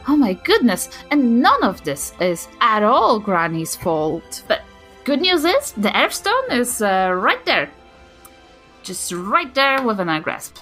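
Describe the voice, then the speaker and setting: Lively bright New Zealand woman host. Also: fairly high pitch, slow pace, faint music behind. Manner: lively and playful, reading promos with energy. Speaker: podcast host. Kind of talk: upbeat show intro and recap.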